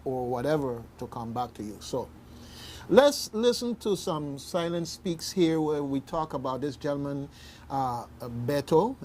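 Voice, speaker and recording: A man's voice: medium pitch, darkish tone. A man hosting an informal radio discussion, emphatic and often checking in with a questioning "okay". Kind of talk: informal talk show discussion. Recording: faint background noise.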